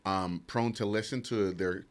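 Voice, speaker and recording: A man's voice: deep voice, steady tone, measured pace. A middle-aged man co-hosting a podcast, talking in a casual, conversational way. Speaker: podcast co-host. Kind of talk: casual chat.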